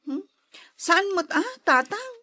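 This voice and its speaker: Breezy, self-indulgent Ilocano wife, played by a woman; high-pitched, leisurely delivery, flirtatious edge, audio drama. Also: big pitch swings, slow, bright, forceful tone, heavily acted. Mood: coy, breezy. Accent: Ilocano Filipino. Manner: coy, breezy.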